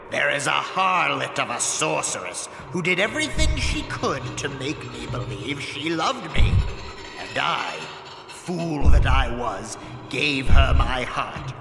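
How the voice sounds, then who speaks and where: A pompous, aggrieved sorcerer, drawn out and theatrical, with a gravelly edge. His voice rings through a cavernous echo with music underneath, swinging between grand incantation and short temper in a fantasy audio drama. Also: a little high, exaggerated voice. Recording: echoey cave reverb, music underneath.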